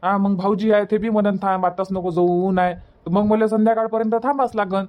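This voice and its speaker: A man's voice: high-pitched, fairly even intonation, fairly quick, a little mellow, natural voice. Casting: male actor as village husband and dad, cartoon comedy, Varhadi Marathi accent, argumentative yet placating, repetitive. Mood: exasperated, defensive. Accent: Varhadi Marathi from Vidarbha. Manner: colloquial, repeats himself to make his point.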